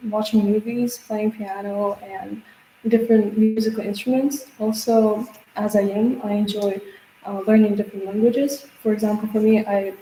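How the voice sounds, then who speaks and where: A woman's voice: mid-range voice, flat delivery, normal speed, mellow. A teenage girl from Kazakhstan answering speaking exam questions in a hesitant way, with many fillers and restarts. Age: teens. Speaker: exam candidate. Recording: echoey room with faint noise.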